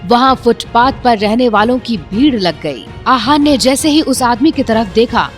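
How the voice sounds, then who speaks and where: A woman's voice: on the high side, animated, moderate pace, soft background music. A woman narrating a scripted audio drama in a dramatic storytelling manner.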